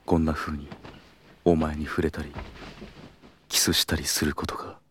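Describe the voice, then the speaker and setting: Calm seductive senpai type, low murmury voice, teasing n confident, bl audio drama. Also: male voice, moderate pace, slightly rough, heavily acted. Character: calm, seductive, confident senior male colleague and lover. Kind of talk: Japanese audio drama acting.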